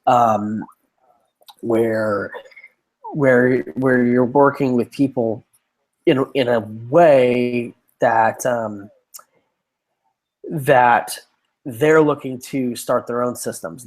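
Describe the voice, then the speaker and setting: A man co-hosting a casual conversation who is getting over a lung infection, so his voice is scratchy and tired, and he rambles with many restarts and fillers. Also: mid-range voice. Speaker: podcast co-host.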